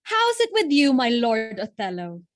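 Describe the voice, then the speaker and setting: An actress playing a devoted, innocent noble wife, earnest and pleading, in a Shakespearean audio drama. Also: female voice, on the high side, wildly swooping pitch, unhurried. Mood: affectionate, playful.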